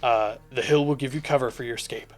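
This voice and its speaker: A man's voice: medium pitch, steady tone, fast talker, soft background music, close to an everyday voice. A man voicing a male resistance leader who gives urgent, commanding orders.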